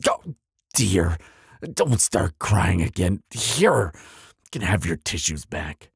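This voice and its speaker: A man voicing a proud, grumpy but soft-hearted bogeyman in an audio roleplay, with a gravelly rasp and a pompous, easily flustered delivery. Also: low voice, very animated, slow pace, no echo, studio quality, exaggerated voice. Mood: grudging concern. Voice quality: raspy, gravelly. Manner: pompous, flustered stammering, dramatic.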